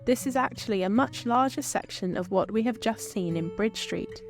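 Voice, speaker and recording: A woman's voice: a little high, normal speed. A woman with a British accent, presenting a history tour in scripted narration. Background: light guitar music.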